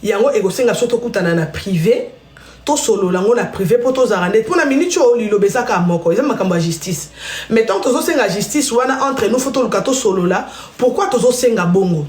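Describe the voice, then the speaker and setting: A woman's voice: fairly high pitch, animated, fairly quick, a little mellow. Chatty Congolese woman in an echoey room. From Congo (DRC). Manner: chatty, storytelling, addresses viewers directly. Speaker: live stream host. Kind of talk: casual live-stream chat.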